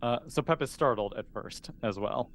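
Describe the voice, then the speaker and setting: A man's voice: mid-range voice, normal speed. A man running an improvised tabletop game as game master, thinking aloud and piecing his sentences together hesitantly.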